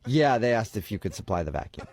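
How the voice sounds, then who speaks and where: Man posing as a breezy, deadpan job recruiter. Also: fairly low pitch, quick pace. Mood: matter-of-fact. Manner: casual, breezy, straight-faced salesman patter. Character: upbeat, pushy temp agency recruiter pitching an absurd job. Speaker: radio prank caller. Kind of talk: deadpan prank phone call.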